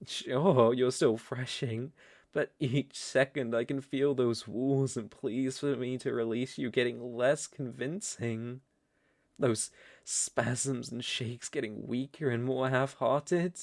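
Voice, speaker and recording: A man's voice: medium pitch, steady tone, slow, darkish tone, dry recording, studio quality. A British man playing a seductive, domineering vampire lord in an intimate ASMR roleplay, with teasing, ornate, condescending speech. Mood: soft, seductive.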